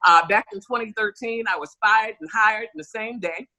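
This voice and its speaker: A woman's voice: mid-range voice, normal speed, sharp tone, low-quality recording. A woman radio host and author chatting on a video call in a chatty, upbeat, rambling way. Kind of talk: casual video call chat.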